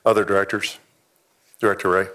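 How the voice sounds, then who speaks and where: A man's voice: lowish voice, little pitch movement, brisk, fairly bright tone. A middle-aged man chairing a school board meeting, thanking staff and inviting the other board members to comment.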